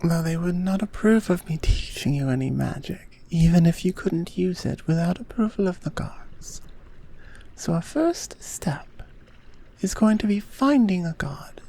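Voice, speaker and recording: Amazonian queen, played by a woman: lowish, slow, soft and breathy, earnest and regal, for intimate roleplay ASMR. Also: heavily acted. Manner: soft, breathy, measured, half-whispered delivery. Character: gentle, earnest Amazonian queen courting a guest. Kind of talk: roleplay ASMR, intimate second-person audio drama.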